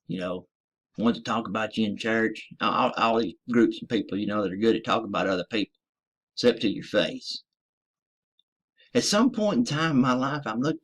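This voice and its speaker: Profane, ranting American veteran man. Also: on the low side. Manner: profane, emphatic, addresses listeners as ladies and gentlemen. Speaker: military veteran. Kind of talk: ranting monologue.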